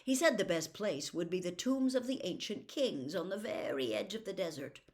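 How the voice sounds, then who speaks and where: A woman narrating a classic children's story as an audiobook reader, with expressive storybook delivery. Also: fairly low pitch, normal speed.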